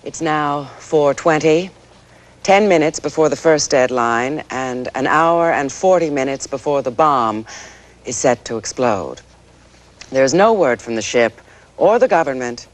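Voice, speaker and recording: Female anchor for a TV news drama: serious, controlled, deliberate broadcast delivery. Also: low-pitched. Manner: deliberate, occasionally halting.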